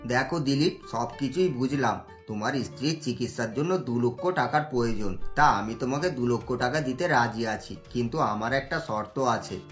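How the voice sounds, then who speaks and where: A woman plays a hard-hearted village landlord in a cartoon story, his voice pushed very low and heavy. He talks with cold, even menace, as if the debtor's trouble means nothing to him. Faint music runs under the scene. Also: moderate pace, hardly any pauses. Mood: stern. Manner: cold, threatening, dismissive. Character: stern older male village landlord and moneylender.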